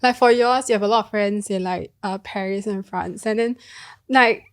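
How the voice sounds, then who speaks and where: A woman's voice: medium pitch, moderate pace, clear, resonant tone. A woman with a Singaporean accent, chatting casually and telling anecdotes as a podcast co-host.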